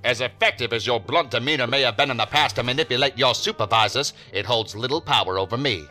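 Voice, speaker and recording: An actor playing a stern, formal assistant director of a federal agency in a science fiction audio drama, speaking firmly and with measured authority. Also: male voice, medium pitch, sharp tone, faint music behind, close to an everyday voice. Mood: commanding, impatient. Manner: formal, firm, commanding, measured.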